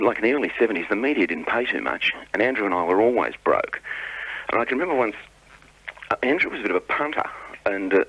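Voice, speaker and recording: A man's voice: low-pitched, pushed and bright, slightly rough, no room sound, muffled recording. A middle-aged Australian man telling anecdotes casually as a radio guest, in a matey, colloquial way. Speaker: radio guest, old friend.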